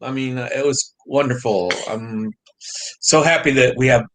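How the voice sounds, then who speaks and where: A man with a medium-pitched voice offers informal praise and thanks over a video call. His delivery is appreciative and a little halting, with restarts. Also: fairly bright tone, low-quality recording. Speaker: audience member.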